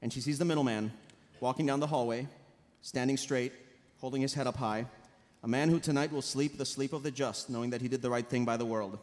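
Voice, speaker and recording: A man's voice: medium pitch, steady tone, a little fast, hardly any pauses. A man narrating a live table read and introducing a cast in a theatrical, grandiose manner. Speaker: series writer reading stage directions and hosting. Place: large convention hall panel stage. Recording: loud crowd noise in convention hall.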